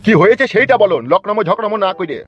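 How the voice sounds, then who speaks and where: A man's voice: sits high, rapid-fire, slightly rough. A man voicing a hot-tempered, stubbornly proud village father in a Bengali comedy cartoon, with a rural West Bengal accent and a blustering manner. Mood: gruff, impatient. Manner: blustering, threatening. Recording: dull lo-fi sound, faint music.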